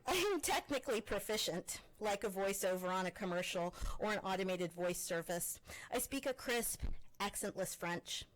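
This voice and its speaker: A Canadian-born woman presenting as a faculty member, reading her personal essay aloud in a measured, expressive way with pointed pauses. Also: mid-range voice, rough recording.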